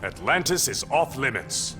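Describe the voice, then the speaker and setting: A man's voice: medium pitch, sing-song, normal speed, lightly acted. A man playing a proud, imperious warrior king in a video game, speaking in a formal, commanding way. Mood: commanding, threatening. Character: proud, stern warrior king of an undersea kingdom. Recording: loud music behind.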